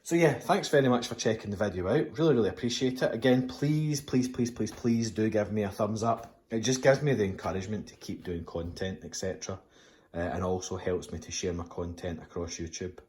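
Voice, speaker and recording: Scottish male vlogger, very low voice, rambling casual delivery. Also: a little fast, a little mellow, slight background noise. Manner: chatty, rambling with fillers and restarts. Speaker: toy collector channel host. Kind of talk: casual unboxing vlog. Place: home room with display cabinets.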